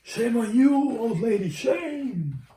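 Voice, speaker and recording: Scolding little storybook bird, played by a man: high, indignant, echoey room. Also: very animated, slow, warm tone, full character voice. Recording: roomy home recording, faint noise.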